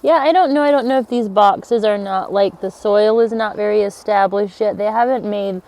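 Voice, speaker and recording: A woman's voice: mid-range voice, normal speed, warm tone. A woman whose first language is a Pennsylvania Dutch German dialect, chatting casually as she hosts a family garden vlog. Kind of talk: casual outdoor chat while gardening. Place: outdoors in a vegetable garden.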